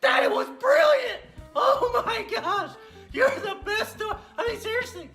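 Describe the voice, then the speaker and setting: Kids' puppet show host: boastful, giggly little girl, very high and swooping, gushing exclamations, echoey room. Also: lively intonation, a little slow, bright, forceful tone, full character voice. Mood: excited, giggly. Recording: roomy echo, faint music.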